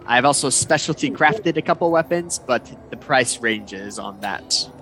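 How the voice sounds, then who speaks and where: A man's voice: mid-range voice, expressive, a little slow, a bit bright, soft background music, poor audio. A man doing Maurice, a pushy shopkeeper. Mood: salesy. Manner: salesman patter, polite and pushy. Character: smooth-talking male shopkeeper, eager to sell.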